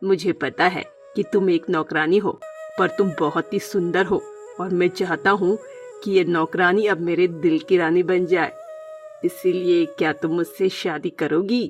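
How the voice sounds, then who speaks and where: A woman voicing a lovestruck bachelor male parrot, a gentle employer, earnest and coaxing in a children's cartoon story. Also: fairly low pitch, fairly even intonation, unhurried, soft background music, voice pushed down, close to an everyday voice. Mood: earnest, wooing.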